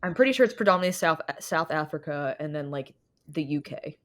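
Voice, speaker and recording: A woman's voice: sits low, expressive, brisk. A woman co-hosting a podcast, telling a rambling story in casual chat with frequent giggles and fillers. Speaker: podcast co-host.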